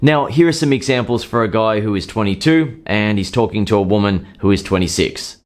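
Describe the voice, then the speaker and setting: A man calmly explaining dating advice in a scripted monologue, acting out short example lines now and then. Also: fairly low pitch, a little fast. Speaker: dating coach.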